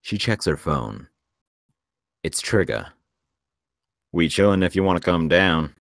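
Casting: male narrator, extremely deep voice, reads screenplay action lines, very dry recording. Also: dry recording. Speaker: narrator reading stage directions. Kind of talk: scripted narration for a table read.

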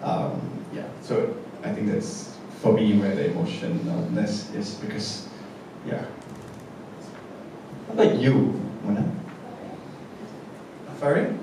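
Brief: low-voiced male director, halting, far mic, noisy theatre. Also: animated, heavy reverb. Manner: halting, many fillers. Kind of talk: casual panel chat. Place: indoor theatre stage.

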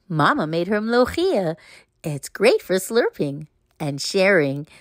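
Female storybook reader, expressive and unhurried, very varied intonation, for children's read-aloud narration. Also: mid-range voice, no echo, clean studio sound. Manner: expressive, deliberate phrasing.

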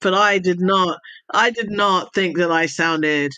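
A woman's voice: on the low side, measured pace, no echo. A woman with an accent between American and British, telling stories about her past as an interview guest and laughing often over a video call. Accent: mixed American and British. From Jamaica. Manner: laughs often, animated storytelling. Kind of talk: casual conversational storytelling.

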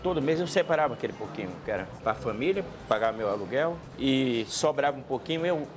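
Middle-aged northeastern Brazilian man, medium pitch. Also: lively intonation, fast talker, over music. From Ceará, northeastern Brazil. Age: 50s. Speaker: snack bar co-owner. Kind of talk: plain interview answers. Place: small snack bar, indoors.

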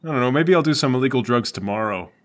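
A man's voice: medium pitch, lively intonation, fast, close to an everyday voice. A man playing a glum, crooked slacker cop boyfriend in a tabletop game, with a hesitant, stammering delivery. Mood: glum, pleading.

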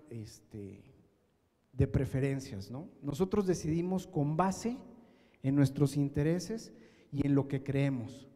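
A man's voice: on the high side, warm tone. A middle-aged man with a Mexican accent giving a conversational lecture, checking in with short questions to his listeners. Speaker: conference speaker. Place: large conference room, indoors. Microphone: handheld microphone. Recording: reverberant conference hall.

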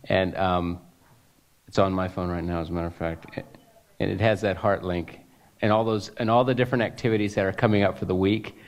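A man answering a question at a base town hall, pausing and searching for words. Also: sits low, fairly even intonation, highs cut off.

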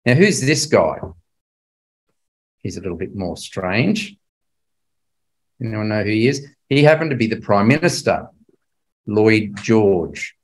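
A man's voice: fairly low pitch, very animated, measured pace, pauses often, low-quality recording. Older man lecturing, emphatic and questioning. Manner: conversational, emphatic, puts rhetorical questions to listeners. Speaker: Bible class speaker. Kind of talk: illustrated history lecture to a religious class.

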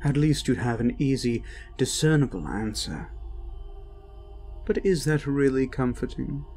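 A man playing an ancient, cruel incubus demon in a dark roleplay audio, mocking and gloating, with slow menace and breathy whispers. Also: mid-range voice, expressive. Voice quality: creaky, breaking into breathy whispers. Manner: slow, menacing, taunting, drawn-out. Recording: ominous music behind the voice.

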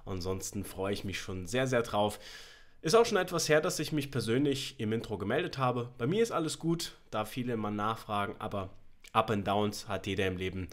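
A man's voice: fairly low pitch, animated, brisk. A young man, slightly under the weather, casually addressing his viewers as a channel host. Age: young adult. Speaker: YouTube host, horror story narrator. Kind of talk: casual intro chat to viewers. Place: small bedroom, indoors.

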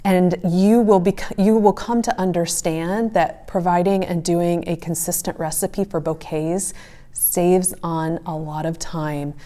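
Woman, forties, low-pitched, dark relaxed tone, conversational, explanatory, advice to camera. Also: a little slow. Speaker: flower farmer and host. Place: small wood-panelled room indoors by a stove.

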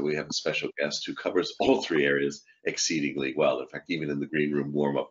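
A very deep-voiced, middle-aged man hosts a webinar interview from his home office over a video call. He talks genially and chats along, with plenty of fillers. The call audio sounds dull and lo-fi. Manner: genial, chatty, with frequent fillers. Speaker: host. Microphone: video call microphone.

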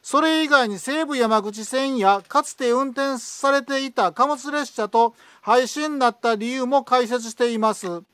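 A man with an extremely high-pitched, bright voice reads scripted promotional narration. The recording is dry and close. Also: a little slow, few pauses, clear, resonant tone, no echo.

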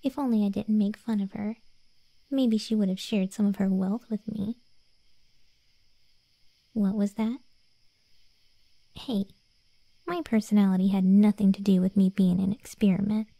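Woman as conceited undead cat girl, playful, teasing, ASMR roleplay, very soft dark tone, gravelly fry, self-important. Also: medium pitch, dry recording. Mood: smug, then mildly indignant. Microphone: close, dry studio-style mic.

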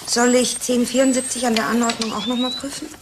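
Woman acting curious lab assistant, highish voice, matter of fact, radio play, noisy animals behind. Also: little pitch movement, brisk, lightly acted. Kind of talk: conversational radio drama acting.

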